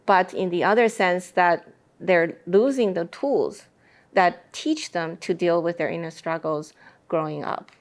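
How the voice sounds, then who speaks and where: A woman speaking as an interview guest in a thoughtful discussion, explaining her ideas with deliberate phrasing. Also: fairly low pitch.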